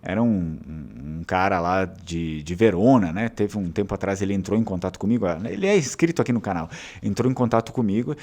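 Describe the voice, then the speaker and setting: A man's voice: sits low, a little fast, darkish tone. A man from southern Brazil with a southern Brazilian Portuguese accent, hosting his channel in a casual, rambling talk full of fillers and restarts. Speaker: channel host.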